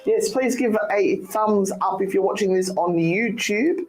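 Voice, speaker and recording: An older Australian woman co-hosting a radio show, hoarse from a cold, chatty and joking in studio banter. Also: medium pitch, a little slow, a little mellow, soft background music, low-quality recording. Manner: chatty, joking, breaks into laughs. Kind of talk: casual banter on air.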